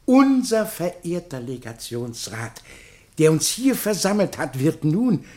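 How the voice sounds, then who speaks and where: A pompous, husky, swooping scholar. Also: male voice, a little high, sing-song, a little slow, warm tone. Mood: solemn, grandiloquent. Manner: pompous, ornate, ingratiating oratory. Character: pompous, fawning learned man of letters, flowery and self-important. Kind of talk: theatrical radio play acting.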